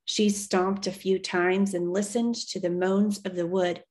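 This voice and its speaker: A woman reading a children's novel aloud as an expressive storyteller. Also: medium pitch, a little slow.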